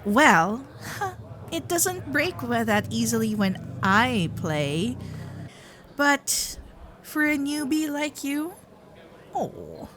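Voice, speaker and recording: Woman acting a teasing kitsune girlfriend, lively and unhurried. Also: high voice, heavily acted. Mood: teasing, playful.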